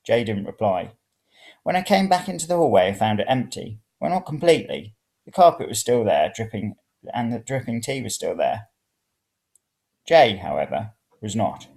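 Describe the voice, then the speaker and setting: A man's voice: fairly low pitch, very animated, moderate pace, creaky, a little mellow. A man reading a first-person story aloud. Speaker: reader of serial fiction.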